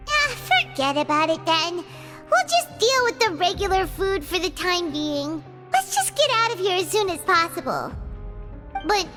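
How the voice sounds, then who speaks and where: A woman voicing a small, childlike, excitable fairy girl with a squeaky voice in a video game. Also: exaggerated voice. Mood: disappointed, pensive. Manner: excitable, exclaiming, refers to self in third person. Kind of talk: video game cutscene voice acting.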